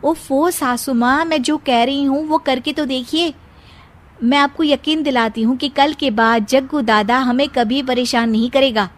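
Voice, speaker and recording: Woman acting a young daughter-in-law, raised high pitch, earnest, exclamatory, cartoon moral tale. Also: steady tone, a little slow. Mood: earnest, determined. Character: worried but resourceful young village daughter-in-law.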